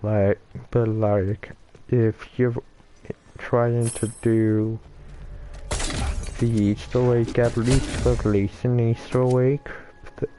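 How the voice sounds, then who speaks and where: Slow, halting man narrating gameplay. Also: medium pitch, flat delivery, mellow, slight background noise. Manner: halting, stuttering speech with many restarts. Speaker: gameplay commentator. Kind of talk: casual gameplay commentary.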